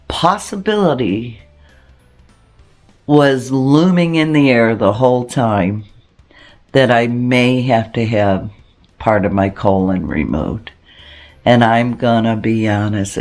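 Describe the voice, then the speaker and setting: Slow, halting older man. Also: mid-range voice. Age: 60s. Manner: halting, many pauses and restarts. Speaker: homestead channel host. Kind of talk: casual personal vlog talk.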